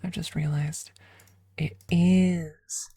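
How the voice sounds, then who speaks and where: A woman speaking softly and often in a whisper, telling a personal story as an ASMR streamer, close to the microphone. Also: low voice, steady tone, extremely slow, husky. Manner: hushed, often slipping into whisper. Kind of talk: soft-spoken ASMR storytelling.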